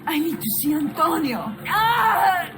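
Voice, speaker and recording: A woman's voice: very high voice, sing-song, measured pace, gravelly, exaggerated voice. An actress playing a fiery, impatient young woman thief in a video game, wounded, with an Italian accent and an urgent, curt delivery. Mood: pained, furious. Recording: voice under loud game music.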